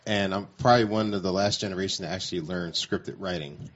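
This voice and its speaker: A man, a radio producer, talking casually on air in a halting, hesitant way, on slightly dull lo-fi audio. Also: low-pitched, a little hiss or hum.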